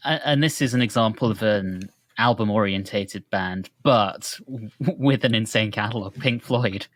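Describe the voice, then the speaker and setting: A man's voice: lowish voice, moderate pace, no room sound. A man co-hosting a music podcast, discussing bands in a casual conversational way.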